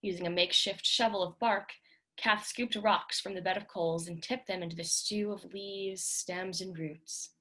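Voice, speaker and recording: A woman's voice: mid-range voice, a bit bright, low-quality recording. A woman giving a dramatic reading of literary fiction as scripted narration.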